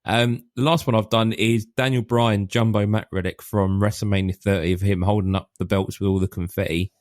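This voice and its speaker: Low-voiced man chatting on a podcast. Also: brisk, dry recording, studio quality. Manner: relaxed, lots of ums, rambling lists. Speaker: podcast co-host. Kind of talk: casual chat.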